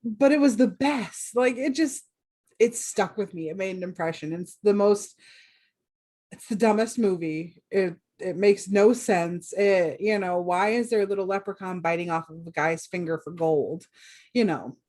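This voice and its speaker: A woman's voice: medium pitch, moderate pace, a little mellow. A woman co-hosting a podcast, chatting casually and playfully and joking often. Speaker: podcast co-host. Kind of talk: casual chat.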